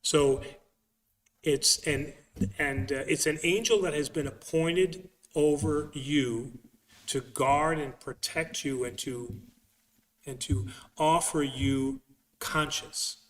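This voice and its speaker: A man speaking as a priest in a conversational religious question-and-answer session, emphatic and halting, often repeating phrases as he explains. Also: fairly high pitch. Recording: echoey hall sound, distant mic.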